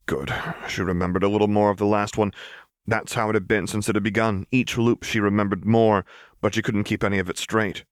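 Male audiobook narrator for action fiction: lowish voice, measured dramatic delivery, very dry close sound. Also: little pitch movement, no room sound.

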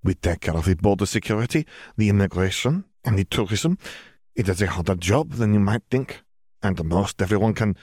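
A man voicing a casual, unfussy French female official with slightly halting, non-native phrasing. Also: fairly low pitch, expressive, a little mellow, slightly rough, no echo, clean studio sound. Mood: matter-of-fact.

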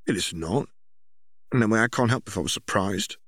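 A man voicing an exasperated young girl scolding her brother in a sharp, hushed way in a story reading. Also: on the low side, brisk, breathy edge, no echo.

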